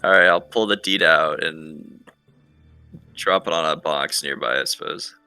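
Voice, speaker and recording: Low, gravelly, crisp male gamer. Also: measured pace, sharp tone, no echo, faint music behind. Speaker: tabletop game player. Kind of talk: casual in-game banter.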